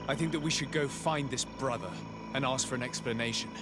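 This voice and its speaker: An actor playing a courteous, composed envoy investigating in a video game, speaking formally and deliberately. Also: male voice, medium pitch, over loud music, natural voice. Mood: analytical. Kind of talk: measured game dialogue.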